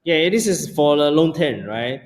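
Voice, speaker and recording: A man's voice: on the high side, expressive. Male founder, English, stop-start answers, reverberant room. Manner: halting, repeats words, many fillers. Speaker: company founder, guest. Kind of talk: casual interview answers.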